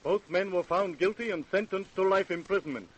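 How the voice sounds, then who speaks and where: A man's voice: high voice, brisk, low-quality recording. An American man narrating a scripted crime story in 1930s radio style. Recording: old radio broadcast recording.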